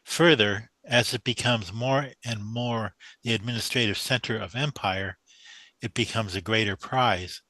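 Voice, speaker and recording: A man's voice: on the low side, a little slow, bright, forceful tone, no echo, rough recording. An actor playing an earnest, humble young mathematician who speaks deferentially to his mentor in a radio drama. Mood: careful reasoning.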